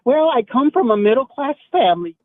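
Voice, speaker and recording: A male caller on a dull lo-fi connection. His voice is very high and wildly swooping, with a mocking, exaggerated cackle running through his delivery. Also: big pitch swings, brisk, no room sound. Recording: dull lo-fi call audio.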